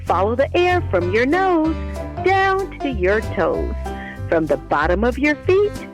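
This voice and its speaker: A woman's voice: a little high, very animated, a little slow, over music, pitched above the performer's own voice. A woman voicing a curious, adventurous young girl in a children's storybook, speaking expressively and directly to young listeners. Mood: calm, soothing. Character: curious, adventurous young girl narrating her mindfulness quest. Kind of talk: children's storybook read-aloud.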